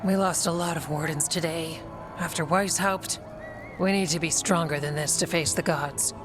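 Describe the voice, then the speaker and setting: A woman's voice: sits low, steady tone, moderate pace, over music, lightly acted. A woman voicing a loyal, plain-spoken warden fighter in a fantasy video game. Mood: loyal, grave.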